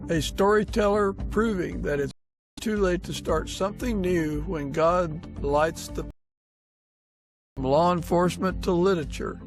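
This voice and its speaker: Gravelly-voiced male podcast host, slow-paced. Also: high-pitched. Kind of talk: conversational interview with a prayer and sign-off.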